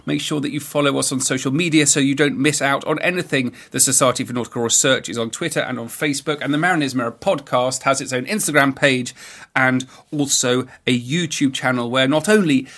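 A man's voice: medium pitch, brisk, a bit bright. Man narrating history. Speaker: podcast host and narrator.

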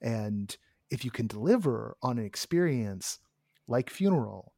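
A man hosting a podcast, hoarse and tired, talking in a rambling way with many restarts and asides. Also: mid-range voice, big pitch swings, measured pace, mellow, no room sound, clean studio sound. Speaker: podcast host. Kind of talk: casual chat and solo monologue.

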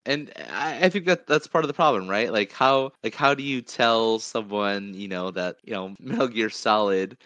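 A man with a fairly low voice talks casually as a podcast co-host, recorded close and dry. He rambles a little and feels his way through points, often repeating words as he goes. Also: normal speed, few pauses, a bit bright, no room sound, dull audio. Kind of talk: casual film discussion podcast.

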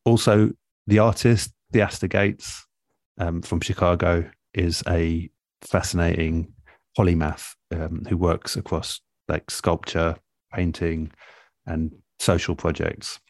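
A man speaking as an interviewed radio producer in a relaxed, reflective way, thoughtful and measured, often pausing mid-phrase. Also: low voice, no echo.

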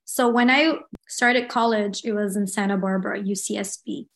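A young woman from Los Angeles, a guest speaking softly and reflectively in a casual interview, with many fillers. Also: medium pitch, fairly even intonation, moderate pace, creaky. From Los Angeles, United States. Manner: soft-spoken in English.

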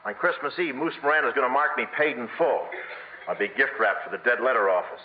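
An actor playing a slippery, wisecracking small-time New York hustler in a 1950s radio comedy. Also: male voice, mid-range voice, lively intonation, fast talker, close to an everyday voice. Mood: pleading, evasive. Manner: wisecracking, agitated, slangy. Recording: muffled, hissy vintage radio broadcast recording.

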